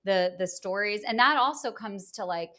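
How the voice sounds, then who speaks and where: Animated, frank woman from Georgia. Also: medium pitch, moderate pace. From Atlanta, Georgia, US. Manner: animated, expressive, frank and laughing. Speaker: guest, producer. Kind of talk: candid podcast conversation.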